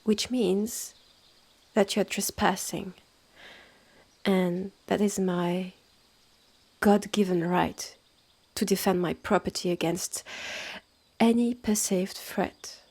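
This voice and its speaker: Woman playing a husky, haughty French-accented woodland witch's sister. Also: mid-range voice, steady tone, a little mellow. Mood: stern, territorial. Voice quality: husky, breathy. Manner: slow, measured, condescending, with breathy drops to near-whisper. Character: haughty, territorial witch's sister running a secretive woodland hotel. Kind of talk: scripted roleplay audio drama.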